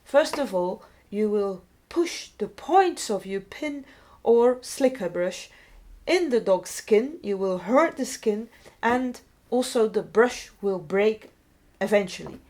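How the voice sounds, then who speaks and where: A woman's voice: medium pitch, lively intonation, takes it slowly, a little mellow. A middle-aged woman with a Belgian accent, a non-native English speaker, giving an informal step-by-step grooming tutorial in simple phrases. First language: not English. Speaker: dog groomer presenting a tutorial. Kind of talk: explanatory demonstration narration.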